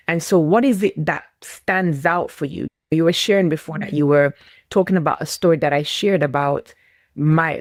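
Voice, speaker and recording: Low-voiced Jamaican woman, emphatic. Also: no echo, clean studio sound. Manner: emphatic, repeats key phrases. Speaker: guest, business mentor and speaker. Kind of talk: conversational interview, motivational.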